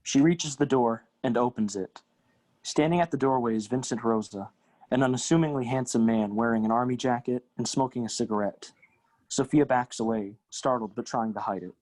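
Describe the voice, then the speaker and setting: A man gives a scripted narration of a play's stage directions. His voice is mid-pitched and neutral, set out in measured phrases, with a very dry sound free of room echo. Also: darkish tone.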